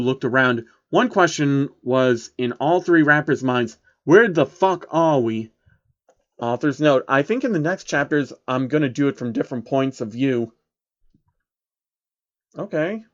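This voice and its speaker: A man's voice: mid-range voice, highs cut off. A man narrating an amateur story reading as the narrator of a piece of fan fiction.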